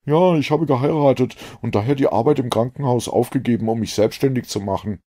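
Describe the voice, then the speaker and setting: A man voicing an eager, talkative young gentleman scientist in an audiobook, effusive and fussily precise. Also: medium pitch, fairly quick, close to an everyday voice. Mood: earnest.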